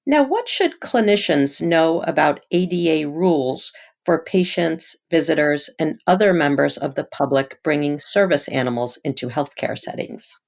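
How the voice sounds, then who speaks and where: A woman's voice: low-pitched, normal speed, hardly any pauses, a little mellow. A woman asking prepared questions as an interviewer. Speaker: interviewer, medical journal editor.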